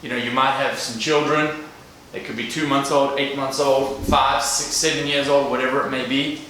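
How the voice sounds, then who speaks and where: A man's voice: medium pitch, level tone, normal speed, pushed and bright. Earnest South African man in an echoey hall. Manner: earnest, direct, addresses the crowd. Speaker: guest speaker. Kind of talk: motivational talk to a live audience. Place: large echoey event room. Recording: echoey room, distant mic, faint noise.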